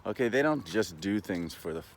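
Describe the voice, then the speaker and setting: A man talking in a casual, rambling monologue, halting but earnest and opinionated, as a vlogger. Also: on the low side, animated, a little hiss or hum.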